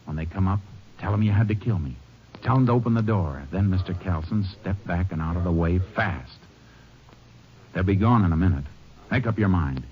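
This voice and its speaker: An American actor playing a tough, cool-headed Texas Ranger in 1950s radio western style, terse and dry. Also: male voice, sits low, lively intonation, moderate pace, a little hiss or hum. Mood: commanding, urgent. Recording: muffled old radio recording.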